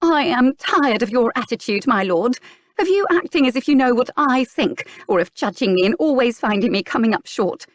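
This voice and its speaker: A woman's voice: mid-range voice, very animated, normal speed, no echo, pitched above the performer's own voice, natural voice. A woman voicing a prim, straight-laced young lady who grows indignant and furious. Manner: indignant, rising to anger.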